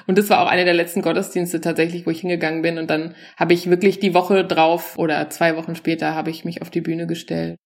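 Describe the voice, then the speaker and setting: Comedian in her forties, relaxed conversational delivery, a touch low in pitch, loose and unpolished. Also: fast talker, vocal fry, few pauses, slight background noise. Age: 40s. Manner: loose, chatty, mixes in English words. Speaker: stand-up comedian and guest. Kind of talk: casual interview talk.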